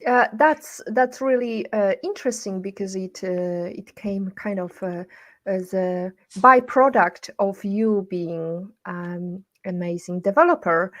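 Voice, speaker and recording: A woman hosting a live interview, halting and full of fillers as she asks questions. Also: mid-range voice. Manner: hesitant, many fillers. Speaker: podcast host. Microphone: video call.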